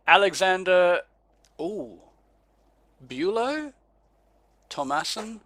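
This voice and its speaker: A man's voice: high-pitched, sing-song, extremely slow, fairly bright tone, no echo. A man with an Australian accent, co-hosting a casual podcast in a laid-back way, chuckling and stumbling over names as he reads them out. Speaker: podcast co-host. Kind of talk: casual chat.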